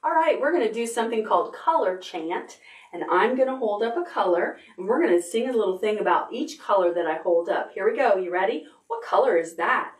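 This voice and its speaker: A middle-aged woman hosting a children's storytime, reading aloud in simple, expressive language for toddlers. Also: medium pitch, brisk. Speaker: library storytime host. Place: indoor room, recorded on video.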